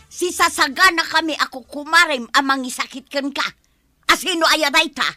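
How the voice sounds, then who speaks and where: A woman's voice: high voice, normal speed, pushed and bright, no echo, faint music behind. A woman playing a feisty, nosy village gossip in an Ilocano comedy drama.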